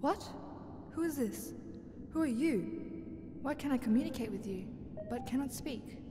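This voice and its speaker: A woman's voice: high-pitched, animated, measured pace, noisy background. A woman voicing a confused, pleading, newly awakened starship AI in an audio drama. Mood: confused, questioning. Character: bewildered newly awakened female ship navigator AI. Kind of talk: science fiction audio drama.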